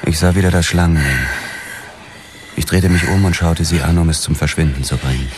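A man's voice: extremely low pitch, brisk, heavy background noise. A man playing a grim, haunted police detective who tells his own story tersely in a noir radio drama. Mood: brooding. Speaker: narrator and lead actor. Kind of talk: first-person noir radio drama narration. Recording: loud music behind the voice. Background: loud music.

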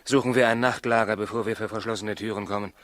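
An actor playing a weary, impatient traveler in a 1960s radio drama. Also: male voice, lowish voice, steady tone, quick pace, a bit bright, dry recording, lightly acted. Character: weary, impatient traveler seeking a newborn king. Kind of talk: 1960s German radio play acting.

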